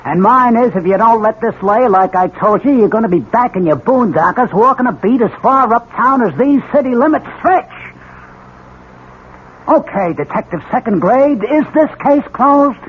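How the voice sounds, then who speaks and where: An actor playing a brusque, sardonic American police lieutenant, an exasperated boss, in a 1970s radio drama. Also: male voice, very high-pitched, expressive, normal speed, husky, heavily acted. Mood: sarcastic, exasperated. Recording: muffled old radio recording with hiss.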